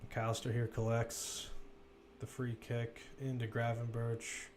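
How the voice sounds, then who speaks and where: A man's voice: medium pitch, monotone, unhurried, slight background noise. A man giving casual, conversational live play-by-play commentary on a football match, with wry asides.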